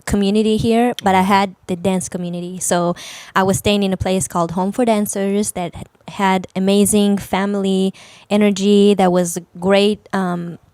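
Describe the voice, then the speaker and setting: Clear-voiced woman from Israel, casual interview guest. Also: mid-range voice, little pitch movement, moderate pace, a little mellow, dry recording. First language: Hebrew. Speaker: podcast guest, dancer. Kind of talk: casual interview chat, personal storytelling.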